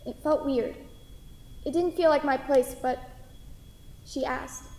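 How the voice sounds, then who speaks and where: A woman acts out a kind, hesitant waitress in a staged play reading. Her voice is high. The room is echoey, with long hall reverb. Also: steady tone, slow pace, a little mellow. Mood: hesitant, tender.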